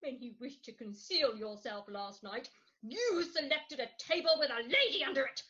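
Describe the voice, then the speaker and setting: Casting: woman as indignant, cajoling Victorian mother, high swooping delivery, emphatic, stage comedy, dull sound. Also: animated, normal speed, pushed and bright. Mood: prim. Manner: theatrical, emphatic. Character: Agatha Posket, dramatic middle-aged Victorian wife and indignant, cajoling mother. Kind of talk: comic Victorian stage play reading. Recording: dull lo-fi remote recording.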